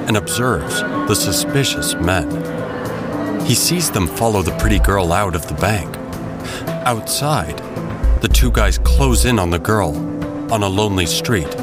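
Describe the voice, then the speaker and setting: A man narrating an audio drama from a script in a dramatic style. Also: lowish voice.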